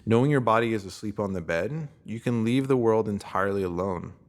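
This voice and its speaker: A man narrates a scripted motivational text in a low voice with heavy vocal fry. His delivery is measured and instructive, in the manner of a guided meditation. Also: expressive. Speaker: narrator reading a written self-help post.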